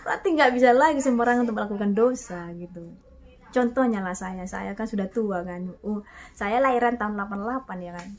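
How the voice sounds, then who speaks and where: A woman in her thirties giving an animated, casual sermon-style talk, often addressing her listeners as "Bapak Ibu" and reading verses aloud. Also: mid-range voice, fast talker. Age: 30s. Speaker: online preacher.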